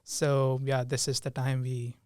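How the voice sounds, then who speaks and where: A young man from India, a podcast guest, answering in casual chat with pauses and frequent laughs. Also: medium pitch, monotone, a little mellow, clean tone, no room sound, clean studio sound. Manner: hesitant, laughs often. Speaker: guest, team lead.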